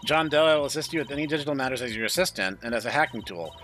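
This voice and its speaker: A man's voice: mid-range voice, lively intonation, very fast, fairly bright tone, a little hiss or hum, natural voice. A man voicing a blunt, cocky female AI virus from a visual novel, matter-of-fact and precise. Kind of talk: visual novel character read-aloud.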